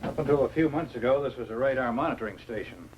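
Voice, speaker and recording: An actor playing a grave, authoritative doctor and scientist in a 1950s science fiction film. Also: male voice, medium pitch, fast talker, darkish tone, highs cut off, a little hiss or hum, close to an everyday voice. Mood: explanatory. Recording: old film soundtrack with music underneath.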